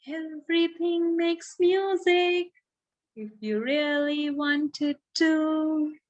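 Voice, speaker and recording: Storybook cricket played by a woman, kindly and wise, high-pitched, very slow, encouraging. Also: fairly even intonation, highs cut off. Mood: gently encouraging. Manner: gentle, encouraging, drawn-out phrases.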